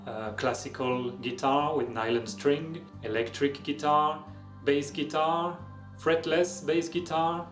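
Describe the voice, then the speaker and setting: Male host, French accent, dry self-mocking wit, mid-pitched, roomy sound with faint music behind. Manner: self-deprecating, wry humor. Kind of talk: casual explanatory vlog narration.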